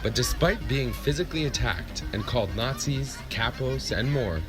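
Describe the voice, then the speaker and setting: A man narrating a news report in a crisp, scripted reporting style. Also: mid-range voice, animated, normal speed. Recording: news clip with loud music bed.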